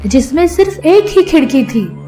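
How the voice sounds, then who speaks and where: Female narrator for children's fairy tales: fairly high voice, measured scripted storytelling over light music. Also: big pitch swings, mellow.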